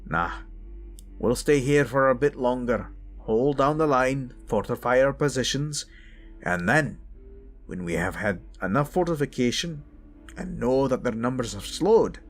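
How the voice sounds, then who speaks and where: A man voicing grave fantasy soldiers planning at the frontline in a roleplay audio drama, measured and solemn, with pauses. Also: medium pitch. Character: grave, deliberate fantasy war commanders at the frontline.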